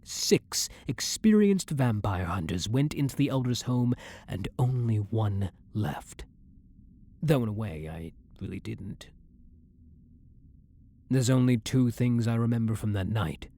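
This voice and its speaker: Husky, brooding man acting a vampire hunter. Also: lowish voice, expressive, a little slow, warm tone. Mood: grim, regretful. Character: centuries-old male vampire hunter turned vampire, blunt, menacing, haunted. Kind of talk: ASMR roleplay monologue to the listener.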